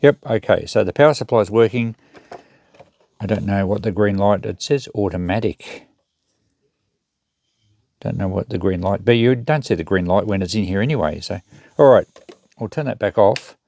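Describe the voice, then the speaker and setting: Low, gravelly-voiced man at the workbench. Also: normal speed, long pauses, darkish tone. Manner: thinking aloud while working, unscripted. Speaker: host of a repair channel. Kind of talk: casual hands-on repair commentary.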